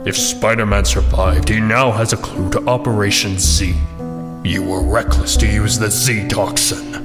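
An actor playing an imposing, commanding crime boss in an audio drama. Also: male voice, fairly low pitch, steady tone, moderate pace, lightly acted. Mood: stern, demanding. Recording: reverberant, under loud music.

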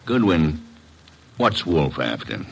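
An actor in a classic radio drama playing a gruff, wary, dry police inspector with a husky voice. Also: male voice, extremely low pitch, very animated, takes it slowly, muffled recording, heavily acted.

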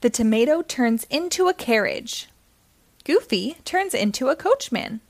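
Slow, animated woman reading to children. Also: sits high. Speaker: children's story reader. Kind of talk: expressive read-aloud narration for young children.

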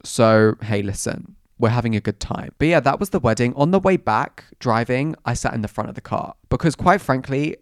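A young man, bunged up and croaky from a cold, telling stories in a dramatic, self-mocking way as a podcast host. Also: medium pitch, animated, moderate pace, hardly any pauses, no room sound, studio quality. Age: 20s. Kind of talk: casual chatty storytelling.

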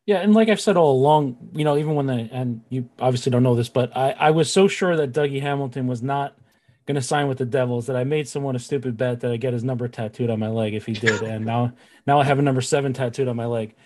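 A man's voice: medium pitch, fast, a little mellow, slight background noise. A man hosting a sports show, chatting casually about the game as a fan would. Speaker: sports podcast host.

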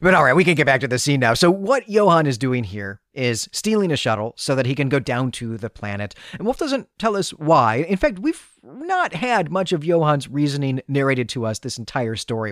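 A man's voice: medium pitch, a bit bright, dry recording, clean studio sound. A man hosting a podcast in a conversational, analytical way, thinking aloud as he recaps and discusses a story. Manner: analytical, thinking aloud with repeated hedging asides. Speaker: podcast host.

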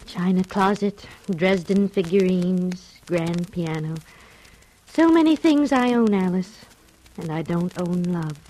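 An actress playing a fretful, lonely wealthy middle-aged widow in a radio drama, hesitant and theatrical. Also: female voice, fairly low pitch, slow, dark tone, low-quality recording. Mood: wistful. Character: fretful, lonely wealthy 45-year-old widow, trusting and fluttery. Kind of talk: old-time radio drama acting.

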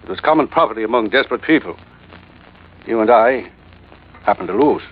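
An actor playing a cynical, dishonest castaway doctor, speaking in a dry, articulate, sardonic way in an old radio drama. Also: male voice, lowish voice, lively intonation, brisk, husky. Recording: muffled, noisy vintage radio recording.

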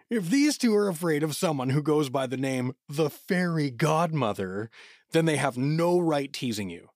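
A man's voice: on the high side, big pitch swings, a little slow, a little mellow, no echo, studio quality. A man playing a sarcastic, cocky human adventurer in a fantasy audio comedy. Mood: taunting. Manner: wry, sarcastic.

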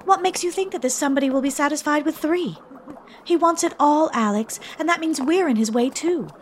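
A woman playing a chic, talkative, anxious young widow in a comic radio mystery, in an expressive theatrical style. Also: high-pitched, fairly quick, a little hiss or hum, natural voice. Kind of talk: radio drama acting.

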